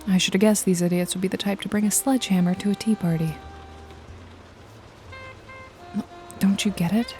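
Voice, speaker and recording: A woman's voice: medium pitch, steady tone, quick pace, a little mellow, natural voice. A woman playing a wry, jaded detective and hired killer in a noir ASMR roleplay, nonchalant and teasing with a casually menacing edge. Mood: grim, annoyed. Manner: sardonic, foul-mouthed, nonchalant, teasing. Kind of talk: noir ASMR roleplay, intimate one-sided dialogue to listener. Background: jazzy music and rain effects.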